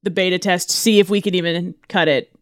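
A woman's voice: lowish voice, fairly even intonation, fairly quick, no room sound, studio quality. Sardonic woman bantering on a podcast. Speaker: podcast co-host. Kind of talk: casual banter.

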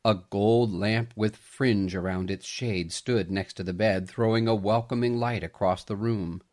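A man reading a story aloud as scripted narration, with an expressive, dramatic storytelling delivery. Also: on the low side, a little slow.

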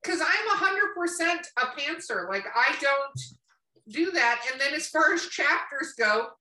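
A woman's voice: high-pitched, animated, measured pace, sharp tone, distant mic. A woman podcast host chatting casually on an online call, blunt and opinionated, swearing freely. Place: room, online video call. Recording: echoey room, away from the mic.

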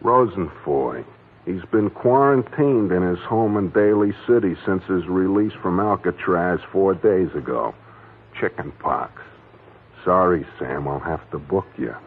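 A deep-voiced stern police captain. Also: male voice, unhurried, husky, exaggerated voice. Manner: officious, reads statements aloud. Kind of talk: 1940s radio acting. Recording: tinny old radio broadcast.

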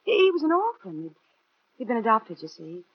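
An actress playing a gentle young widow who talks hesitantly in a 1940s radio drama. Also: female voice, fairly high pitch, sing-song, fairly quick, slightly rough. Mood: wistful. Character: gentle young widow recalling her late husband. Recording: muffled 1940s radio broadcast.

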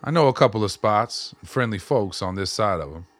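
A man's voice: on the low side, expressive, brisk. A man playing an easygoing, shrewd older smuggler in a radio drama, talking in a folksy, plain-spoken way. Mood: assured, folksy. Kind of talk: audio drama acting.

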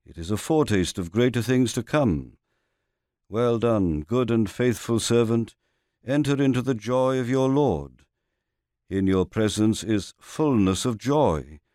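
A man with a fairly low voice reads a sermon very slowly, in a close, dry recording. His delivery is formal and measured, setting out scripture phrase by phrase. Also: warm tone, no room sound. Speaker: reader of a historical sermon. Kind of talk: scripted sermon reading, expository.

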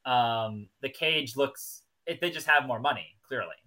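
A man's voice: mid-range voice, a little slow, sharp tone. A man in a casual, analytical discussion on a TV review podcast. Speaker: podcast co-host.

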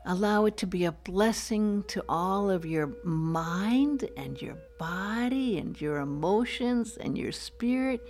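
Slow, creaky-voiced woman guiding meditation. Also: medium pitch, expressive. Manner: soothing, gentle, unhurried. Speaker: guided meditation leader. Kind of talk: guided meditation, slow poetic narration. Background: soft music.

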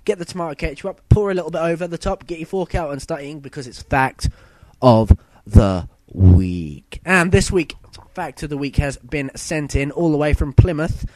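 A young man hosting a casual podcast, a bit husky from a sore throat, chatty and rambling. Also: a little high, normal speed, hardly any pauses, a little mellow, no room sound. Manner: chatty, loose and rambling, with fillers and self-corrections. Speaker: podcast host. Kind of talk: casual solo podcast chat.

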